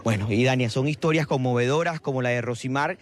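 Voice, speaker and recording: A man's voice: mid-range voice, fast, a little hiss or hum. A male field reporter, reporting live outdoors at a border bridge and interviewing people.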